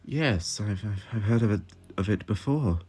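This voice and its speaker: A courteous, principled male time traveller acted by a reader, who muses and stammers over his words yet stays firm. The voice sits a little above the reader's low narration, in an audio story style. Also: lively intonation, normal speed, darkish tone, slightly rough. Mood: wry probing. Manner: stammering, musing, firmly moral.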